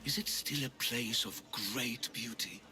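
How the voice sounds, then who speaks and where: A man playing a gentle, wistful old blind Persian beggar in a video game. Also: medium pitch, unhurried, over music, close to an everyday voice. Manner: storytelling, courteous, wistful. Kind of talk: video game cutscene acting.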